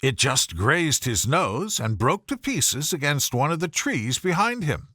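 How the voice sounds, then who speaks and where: Guy reading a bedtime storybook, higher voice, really animated, bright n close dry sound. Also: breathy edge, no echo. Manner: measured, expressive bedtime storytelling. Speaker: audiobook reader. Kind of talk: scripted storybook narration.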